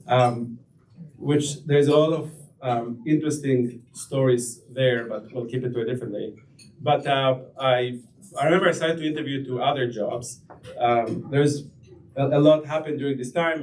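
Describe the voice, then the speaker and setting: A man in his thirties with an Israeli accent, giving a personal testimony at a lunch event, halting and self-deprecating with many fillers and restarts. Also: mid-range voice, darkish tone, a little hiss or hum. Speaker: guest speaker. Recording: distant mic with faint room ambience.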